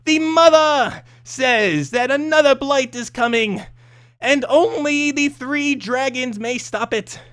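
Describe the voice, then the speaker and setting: A man's voice: very high-pitched, takes it slowly, a bit bright, pitched above the performer's own voice, heavily acted. Man putting on a gleeful little weather demon. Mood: gleeful, portentous. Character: gleeful self-important minor weather demon delivering prophecy.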